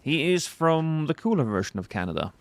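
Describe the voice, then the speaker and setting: Norwegian male streamer, fairly high voice, joking chat banter with loud bursts at the game. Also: big pitch swings, a little fast, dry recording. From Norway. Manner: reacts loudly to in-game action. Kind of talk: casual live gameplay stream chat.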